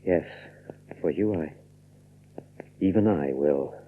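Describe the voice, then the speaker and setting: An actor playing a strange, brooding young gentleman in an audio drama, intense and deliberate. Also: rumbling low, a little hiss or hum. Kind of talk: theatrical audio drama acting. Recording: tinny, band-limited old radio-drama recording.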